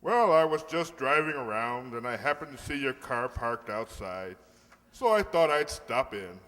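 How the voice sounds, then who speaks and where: Awkwardly polite older father played by a man, halting and formal, swinging intonation, radio-play scene in a reverberant hall. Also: mid-range voice, animated, fairly bright tone. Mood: awkward, curious. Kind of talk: stage-style radio comedy acting. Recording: reverberant hall stage recording.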